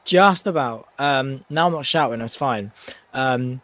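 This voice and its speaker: Hoarse co-commentator. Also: male voice, medium pitch, unhurried, fairly bright tone, dry recording. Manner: self-mocking. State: hoarse, losing his voice. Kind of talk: casual chat.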